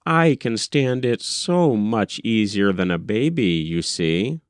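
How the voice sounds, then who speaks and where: A man reading a literary story aloud as an audiobook narrator, with deliberate, dramatic phrasing. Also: fairly low pitch, animated, clean tone, no echo, studio quality.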